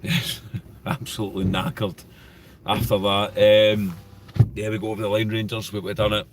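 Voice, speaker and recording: A Scottish man co-hosting a casual football podcast, relaxed and plainspoken with hesitant ums. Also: fairly low pitch, normal speed, background noise. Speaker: podcast co-host.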